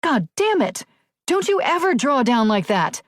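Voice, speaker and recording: A woman's voice: high-pitched, sing-song, unhurried, no room sound, clean studio sound, voice pushed up, full character voice. Woman narrator voicing a tough, protective policewoman. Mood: angry, urgent. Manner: urgent, forceful commands. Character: tough, commanding female small-town police officer, protective. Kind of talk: audiobook character dialogue.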